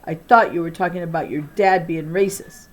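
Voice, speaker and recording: A woman's voice: fairly low pitch, fairly even intonation, normal speed, close to an everyday voice. A woman reader voicing an indignant young schoolgirl in a storybook, blunt and emphatic. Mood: angry.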